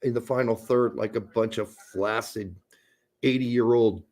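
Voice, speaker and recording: A man co-hosting a casual live match watch-along, mixing crude jokes with excited play-by-play calls. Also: medium pitch, a little slow.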